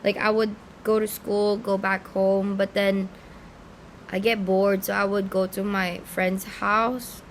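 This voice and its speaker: Rambling, self-mocking woman with vocal fry. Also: medium pitch, a little hiss or hum. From Philippines. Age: 20s. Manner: chatty, distractible, self-mocking, filler-heavy. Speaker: vlogger. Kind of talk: casual rambling chat to camera. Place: room in the Philippines.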